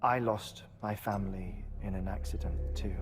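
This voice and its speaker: A man's voice: on the low side, steady tone, moderate pace, over loud music, close to an everyday voice. An actor playing a scholarly scientist haunted by his lost family in a cinematic video game. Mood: somber, reflective.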